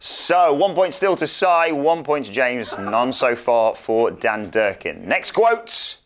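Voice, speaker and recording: A man's voice: medium pitch, sing-song, slow, fairly bright tone. A man hosting a quiz in a theatrical, showman-like game show style. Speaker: quiz host.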